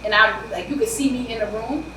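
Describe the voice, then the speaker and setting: An animated woman with a New York accent chats loudly as an interview guest, words tumbling out in quick bursts. Her voice sits a little high. She sounds distant in a roomy space with a faint hiss. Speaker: author guest. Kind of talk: casual interview chat.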